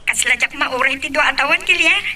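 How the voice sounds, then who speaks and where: Lady playing a young ilocano woman in a love drama, high super bright voice, sounds a bit far. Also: a little fast. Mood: reflective. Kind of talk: Ilocano radio-style love drama acting.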